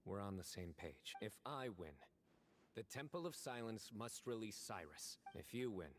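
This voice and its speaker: A man voicing a stern, resolute young general in a video game, speaking seriously and deliberately. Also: lowish voice, lively intonation, a little hiss or hum, lightly acted.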